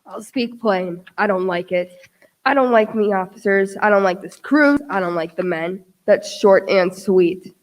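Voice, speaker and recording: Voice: medium pitch, a little slow, lightly acted, rough recording. An actor playing a discontented male ship's captain in a radio play, blunt, curt and grumbling. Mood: disgruntled.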